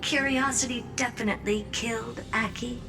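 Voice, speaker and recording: Game casting: a cocky female genius, teasing and breezy, slightly high voice, clear music behind. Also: steady tone, normal speed. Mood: curious, wry. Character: self-assured, flippant genius woman scientist. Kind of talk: scripted video game acting.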